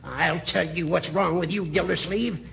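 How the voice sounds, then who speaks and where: An American man playing a crotchety, indignant older judge in 1940s radio comedy, with an irascible, accusing manner and a creaky voice. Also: on the high side, fairly even intonation, measured pace, a bit bright, exaggerated voice. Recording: dull, noisy 1940s radio broadcast.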